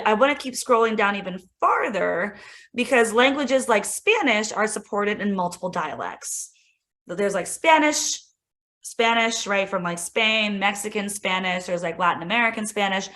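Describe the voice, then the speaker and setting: A woman coach demos software for teachers in a loose, chatty way, her mid-range voice bouncing with enthusiasm. She fills her talk with "like" and "right?" as she clicks through, friendly and informal. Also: mid-range voice, normal speed. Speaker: instructional coach presenting a tech demo. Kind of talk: casual screen-share tutorial.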